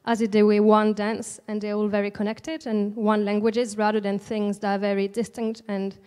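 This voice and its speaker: Soft-spoken French woman in a reverberant hall. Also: mid-range voice, little pitch movement, moderate pace, clean tone. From France, Alsace. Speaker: artist guest. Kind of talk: stage interview. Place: large indoor event space at a museum, on stage. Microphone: handheld mic.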